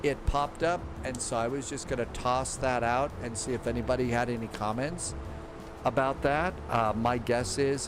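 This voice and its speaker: A man's voice: medium pitch, background noise. A man hosting a live flight-sim stream, reading viewer comments and rambling in a casual, thinking-aloud way. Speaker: livestream host. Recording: clear background music.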